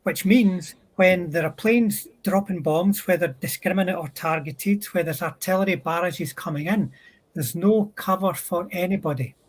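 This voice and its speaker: A man on a discussion panel explaining military history in a thoughtful, halting way, stretching out words. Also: sits high. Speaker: panel speaker.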